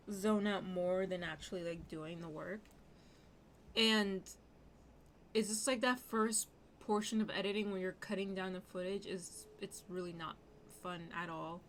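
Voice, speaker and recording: A young woman streaming, chatting casually in a scratchy, slightly hoarse voice with lots of fillers. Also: medium pitch, fairly bright tone. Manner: rambling, many fillers. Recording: music playing underneath.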